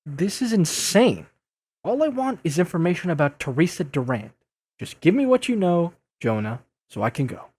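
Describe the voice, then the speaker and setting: An actor playing a young masked superhero in an audio drama, sarcastic, flustered and easily exasperated. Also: male voice, fairly high pitch, very animated, moderate pace, a little mellow, breathy edge, no echo. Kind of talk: audio drama dub acting.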